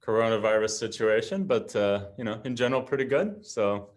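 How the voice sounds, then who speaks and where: Deep-voiced man hosting a chat. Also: brisk. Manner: friendly, loose, many fillers. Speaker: podcast host. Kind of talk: casual interview chat. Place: home in Amsterdam.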